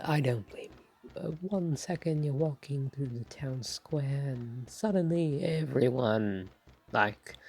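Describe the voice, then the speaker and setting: Woman acting as doting god of love, ASMR roleplay, slow and hesitant, mellow, tender, apologetic, soft half-whisper. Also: rumbling low, full character voice. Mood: reassuring, playful. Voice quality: soft, breathy, partly whispered. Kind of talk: ASMR roleplay spoken to the listener.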